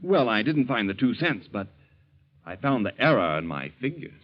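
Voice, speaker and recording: An actor in a 1940s radio drama playing a nosy young bank teller, casually probing and falsely helpful, on a muffled old recording. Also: male voice, lowish voice, big pitch swings, normal speed.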